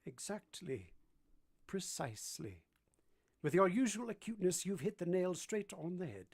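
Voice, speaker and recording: A man playing a brooding, commanding gentleman who is both teasing and ardent, in a theatrical vintage-style radio drama. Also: sits high, sing-song, moderate pace, breathy edge, exaggerated voice. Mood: brisk, commanding.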